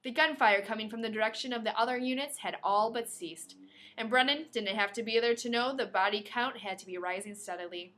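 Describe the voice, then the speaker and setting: A woman's voice: fairly high pitch, a little fast, fairly bright tone. A woman podcast host reading a novel aloud expressively, breaking into playful, casual asides.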